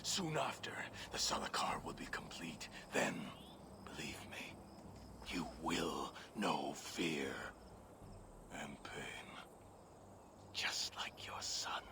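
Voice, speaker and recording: An actor playing a cruel, fanatical villain in a video game, with a theatrical, taunting delivery. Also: male voice, a bass voice, sing-song, slow, pauses often, whispered, full character voice. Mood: menacing. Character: menacing fanatical villain, cruel devoted zealot.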